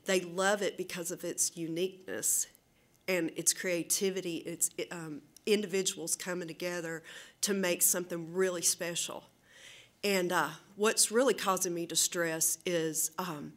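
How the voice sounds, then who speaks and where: A woman with a Southern American accent speaks at a council meeting's public comment. Her voice sits a little low for a woman. She talks earnestly and pauses often with fillers as she finds her words. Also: a bit bright. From Southern United States.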